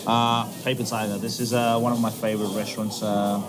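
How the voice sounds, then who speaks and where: A man from Fiji, a chef, chatting in an interview in a relaxed way with many pauses and fillers. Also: lowish voice. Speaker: chef, podcast guest. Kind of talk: casual interview chat. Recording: music playing behind.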